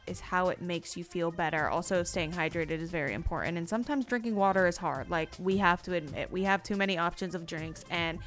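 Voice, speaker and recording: A woman's voice: lowish voice, a little fast, few pauses, a bit bright, faint music behind. A woman co-hosting a podcast, speaking in an informal, jokey, conversational style. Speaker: podcast co-host. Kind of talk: casual chat and ad reads.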